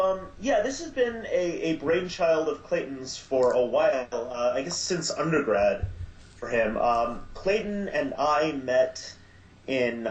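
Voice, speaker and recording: A man being interviewed as producer and director of an audio drama, talking in a halting way with many fillers and restarts. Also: mid-range voice. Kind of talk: casual podcast interview. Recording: echoey, dull lo-fi sound.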